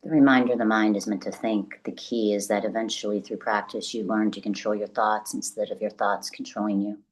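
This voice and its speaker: Very low-pitched woman guiding meditation. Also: fairly even intonation, husky, low-quality recording. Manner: gentle, slow, deliberate phrasing with long pauses. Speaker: meditation guide. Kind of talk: guided meditation, soothing instruction.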